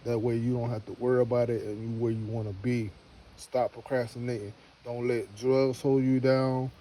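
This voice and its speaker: Mellow-voiced man in his thirties rambling. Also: mid-range voice, level tone, normal speed, slight background noise. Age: 30s. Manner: rambling, repeats himself, slangy; earnest motivational pep talk. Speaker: homestead vlogger. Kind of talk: casual to-camera vlog.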